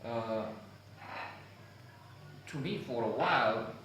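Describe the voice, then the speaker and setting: A man, musing about poetry in an unhurried, hesitant way with many fillers, recorded on a phone on a tripod. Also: medium pitch, expressive. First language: Romanian. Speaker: vlogger discussing poetry. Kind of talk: casual musing monologue. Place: public spot with people and a dog nearby. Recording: very echoey, distant phone mic with noise.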